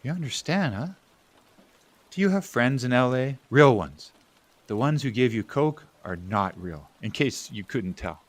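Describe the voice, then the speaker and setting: A man's voice: mid-range voice, animated, measured pace. A man acting a frustrated, caring family-man brother in an audio drama, blunt and emphatic. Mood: probing. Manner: blunt, emphatic, swinging between exasperated and hushed.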